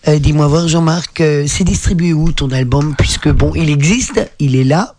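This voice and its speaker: A man's voice: on the high side, normal speed, a little mellow, a little hiss or hum. A man hosting a radio interview, asking long, rambling questions full of fillers. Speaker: radio host.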